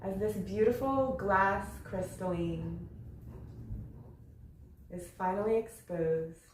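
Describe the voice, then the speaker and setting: A woman leading a guided yoga meditation, speaking gently in short, unhurried phrases with long pauses between them. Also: mid-range voice. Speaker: yoga nidra meditation instructor. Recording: roomy echo with faint background noise.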